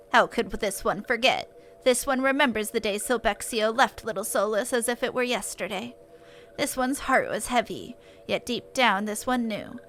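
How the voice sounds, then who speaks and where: A woman voicing a gentle, earnest forest sylph from a game, solemn and stilted in the third person. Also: fairly high pitch, a little hiss or hum.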